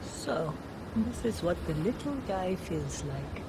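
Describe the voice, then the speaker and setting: A woman's voice: animated, takes it slowly. A woman playing a blunt, scornful tour guest in a video game, speaking in a sardonic, dismissive way. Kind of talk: scripted video game dialogue. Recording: clear ambient rumble behind.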